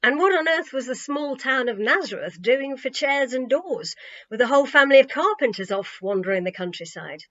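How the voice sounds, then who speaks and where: A woman reading a children's story as an expressive audiobook narrator. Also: sits high, a little fast, muffled recording.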